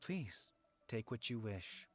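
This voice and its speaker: A man voicing a gentle, resigned guardian spirit in a video game, courteous and measured, with old-fashioned formal speech. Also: lowish voice, very animated, husky, no echo, full character voice.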